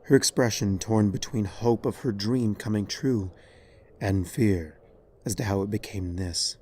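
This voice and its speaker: A man reading dramatic fiction as narrator in a somber, measured way. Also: fairly low pitch, darkish tone, a little hiss or hum. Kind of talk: dramatic scripted story narration.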